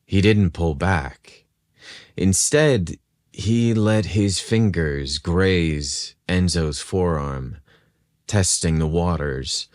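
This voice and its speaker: A man with a deep voice narrates romantic fiction. His delivery is slow and intimate, as if telling the tale to one listener. The sound is dry and close, with no room around it. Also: a little mellow, no room sound. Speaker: story narrator. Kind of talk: scripted romantic story narration.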